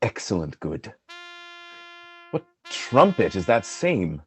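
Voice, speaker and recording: A man playing a tormented, jealous general and husband in a Shakespearean radio drama, husky and dramatic, swinging between brooding and outcry. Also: low-pitched, sing-song, takes it slowly, faint music behind, exaggerated voice. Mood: cold vengeance.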